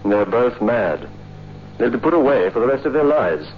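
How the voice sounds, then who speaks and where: An actor playing a sober man in a 1960s radio drama, speaking in a measured, grave way. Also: mid-range voice, big pitch swings, slight background noise, close to an everyday voice. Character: sober man explaining a family murder plot. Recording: dull old radio recording, faint music.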